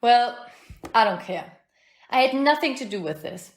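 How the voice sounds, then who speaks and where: An indignant young female hotel guest acted by a woman in an audio mystery play. Her voice sits fairly high and moves up and down a lot, with a defensive, sarcastic edge. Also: lively intonation, measured pace. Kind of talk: amateur audio play acting.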